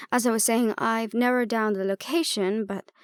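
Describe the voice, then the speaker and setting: A woman's voice: fairly high pitch, fairly even intonation, measured pace, smooth, no room sound, studio quality, natural voice. A woman acting in an audio roleplay as an aristocratic archaeologist, sardonic, self-deprecating and prone to awkward rambling. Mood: composed, wry.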